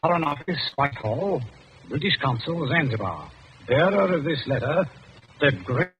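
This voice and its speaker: A man's voice: on the high side, lively intonation, normal speed. An actor playing a pompous, irritable British consul and stern middle-aged father in an old radio drama, speaking with clipped, indignant authority. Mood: irritated. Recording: dull, noisy old radio broadcast.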